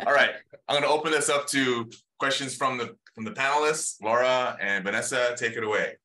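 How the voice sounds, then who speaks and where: A man's voice: medium pitch, brisk, pushed and bright, low-quality recording. A man hosting an informal panel discussion as its moderator, speaking over a video call.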